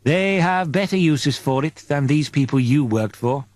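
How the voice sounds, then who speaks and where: A man's voice: a little high, animated, moderate pace, a little mellow, no echo, lightly acted. A man voicing a serene, knowing female angel in an audiobook, coaxing with patient, assured phrases. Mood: earnest, assured.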